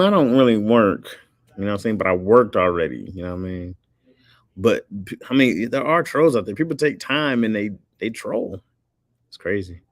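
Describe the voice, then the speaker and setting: A man's voice: lowish voice, no echo, clean studio sound. A man hosting a livestream, chatting casually with his viewers in a rambling, laid-back way and laughing often. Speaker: livestream host reading chat. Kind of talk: casual chat.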